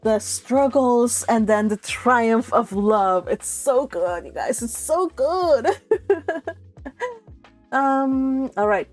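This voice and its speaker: A woman's voice: fairly high pitch, lively intonation, extremely slow, soft background music. A woman hosting a read-along livestream, chatting casually and reading out viewer comments in a gushing, giggly, rambling way. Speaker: livestream host of a book read-along channel.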